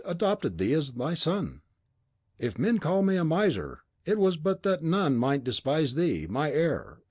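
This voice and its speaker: Man reading a desperate old miser, raised and strained, husky edge. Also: fairly high pitch, darkish tone, no echo, voice pushed up, exaggerated voice. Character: frantic old male miser, pleading. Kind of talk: audiobook dialogue.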